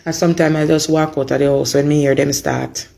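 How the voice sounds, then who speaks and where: A woman with a Jamaican accent and a hoarse voice, giving an informal, earnest update to supporters and repeating phrases for emphasis. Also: low-pitched, level tone, brisk, dark tone. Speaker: mother giving an update on her daughter.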